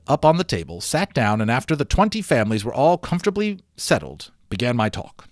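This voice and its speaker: Animated man reading a storybook aloud. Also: medium pitch, no room sound, clean studio sound. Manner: expressive, emphatic, theatrical. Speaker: amateur audiobook reader. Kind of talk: dramatic storybook read-aloud with chatty asides.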